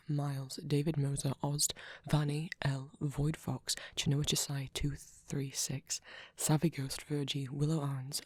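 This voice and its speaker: A soft-spoken man, an ASMR creator, reading a channel outro and supporter names in a list-like way. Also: on the high side, breathy edge, no echo.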